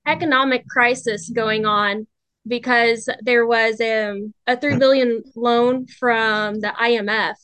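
A young woman conducting an interview for a class, asking polite, careful questions with pauses. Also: on the high side, pushed and bright, clean tone, dry recording. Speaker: interviewer, student.

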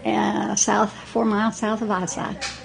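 Elderly female interviewee, eighties, unhurried delivery with a gravelly creak. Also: mid-range voice, little pitch movement, low-quality recording. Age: 80s. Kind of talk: reminiscing in a group interview.

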